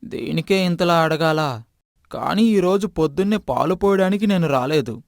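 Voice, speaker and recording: A man voicing a proud, defensive village milkman and father in an animated moral story, with a rustic way of talking. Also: a little high, moderate pace, gravelly, no echo, studio quality.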